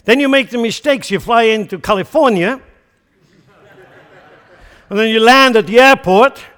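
A man's voice: very high voice, moderate pace, sharp tone, in a large hall. A man from central Switzerland with a Swiss German accent, preaching a sermon with emphatic rhetorical questions. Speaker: pastor.

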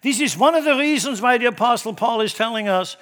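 A middle-aged man with a Swiss German accent, preaching a sermon in church, emphatic and shifting from loud to hushed. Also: extremely high pitch, lively intonation, brisk. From Switzerland. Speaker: pastor.

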